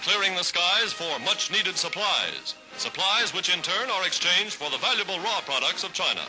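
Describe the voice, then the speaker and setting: A man's voice: high voice, expressive, normal speed, loud music behind the voice, low-quality recording. An American man narrating a 1940s wartime newsreel in a dramatic, declamatory, patriotic announcer style, on a vintage soundtrack.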